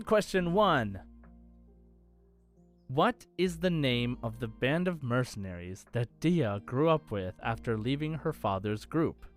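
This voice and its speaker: A man hosts a quiz podcast in a casual, conversational way. His voice sits at an average pitch, with light music playing underneath. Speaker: podcast host and quizmaster. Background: faint background music.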